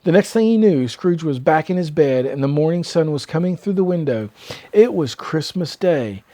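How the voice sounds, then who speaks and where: An older man narrating a children's storybook as storyteller, with expressive, measured read-aloud delivery. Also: fairly high pitch, no room sound.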